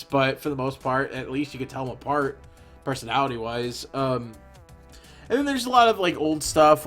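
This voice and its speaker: Young man, bright tense voice, rambling chat, faint music underneath. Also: mid-range voice. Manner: rambling, opinionated, many fillers and restarts. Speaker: animator. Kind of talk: casual commentary while animating.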